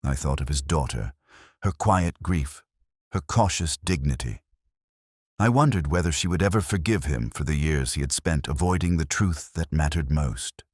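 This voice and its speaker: A man performs a reflective, world-weary detective telling his case in the first person. The voice is extremely deep, with an expressive melodic range. The delivery is solemn, measured and literary, and it is recorded dry and close for an audio drama. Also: breathy edge, no echo, exaggerated voice. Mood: somber, reflective. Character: world-weary, reflective male English police detective narrator.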